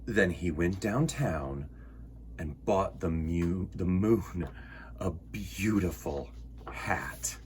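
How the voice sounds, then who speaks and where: A man's voice: deep voice, expressive, drawn-out words, darkish tone, a little hiss or hum. A man hosting a children's storytime, reading picture books aloud with playful, theatrical delivery and rambling asides. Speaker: storytime host.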